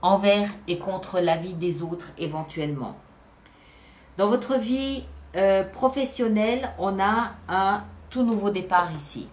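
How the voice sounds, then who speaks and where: A woman with a medium-pitched voice gives a spoken tarot reading to her audience online. She explains each card emphatically, restating phrases to underline her meaning. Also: expressive, slow pace. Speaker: tarot reader and channel host.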